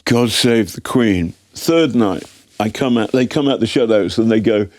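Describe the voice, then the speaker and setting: English-accented man, low voice, wry storyteller, frequent restarts, dry studio sound. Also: normal speed, a little mellow, no room sound. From Britain. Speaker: guest journalist. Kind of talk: anecdotal storytelling in interview.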